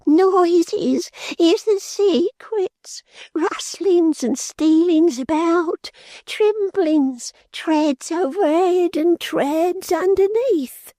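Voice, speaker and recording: A man's voice: extremely high pitch, drawn-out words, dark tone, dry recording, pitched above the performer's own voice, heavily acted. A man reading an audiobook, voicing a frightened, superstitious servant woman with rustic, ungrammatical speech. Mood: anxious. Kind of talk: audiobook character voice.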